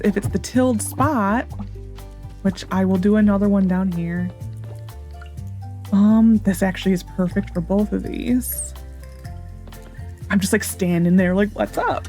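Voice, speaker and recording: A young woman narrates her gameplay in a hoarse, worn voice, wandering between thoughts with exasperated sarcasm. Her tone is dark and mellow, sitting at an ordinary pitch. Faint background music runs under her words. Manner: rambling, frustrated and sarcastic about the game. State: hoarse, sore voice. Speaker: gaming YouTuber. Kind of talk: casual gameplay commentary.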